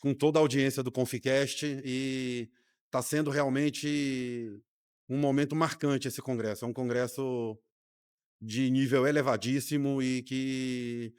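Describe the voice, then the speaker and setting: A man's voice: medium pitch, fairly even intonation, measured pace, clear, resonant tone. A man, a doctor speaking earnestly and reflectively as an interview guest in a studio. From Rio de Janeiro, Brazil. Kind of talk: casual interview conversation.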